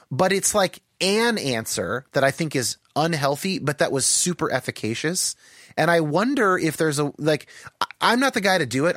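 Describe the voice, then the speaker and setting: A man with a somewhat higher-pitched voice, talking thoughtfully in a podcast conversation and hedging with lots of "like" fillers. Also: fairly bright tone, no room sound, studio quality. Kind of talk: casual conversation.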